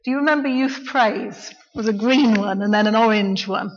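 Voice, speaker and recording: A middle-aged woman, a local preacher, giving a quiet, reflective personal testimony in church. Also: mid-range voice, normal speed, slightly rough. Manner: soft-spoken, reflective. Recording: dull, echoey church recording.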